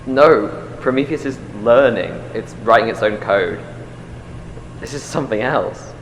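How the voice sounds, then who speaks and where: An actor playing a cautious, worried lab colleague in an audio drama, speaking informally and in a cautioning way. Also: male voice, medium pitch, lively intonation, measured pace, a little hiss or hum, poor audio. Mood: anxious warning.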